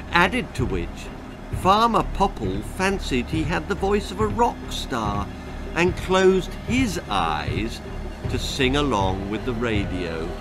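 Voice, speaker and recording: A man in his sixties reads a children's tale aloud as a storyteller. His delivery is slow and theatrical, with a lively, swooping pitch. He is deliberate and relishes every grisly word. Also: rough recording. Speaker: author reading his own story. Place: small indoor room lined with bookshelves.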